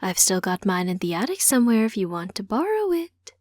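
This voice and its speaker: A woman voicing a caring, gentle mother of a grown child in an audiobook story. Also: mid-range voice, sing-song, brisk, no echo, clean studio sound. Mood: eager.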